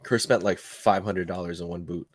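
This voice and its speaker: A man hosting a podcast, talking in a slangy, excitable, casual way. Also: deep voice, normal speed, slightly rough, no room sound. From United States. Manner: slangy, excitable, laughs easily. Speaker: podcast host.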